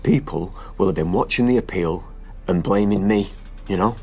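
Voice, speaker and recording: A man's voice: deep voice, moderate pace, mellow, slightly rough. An actor playing a weary, anguished husband and father in a naturalistic radio drama. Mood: bitter, weary.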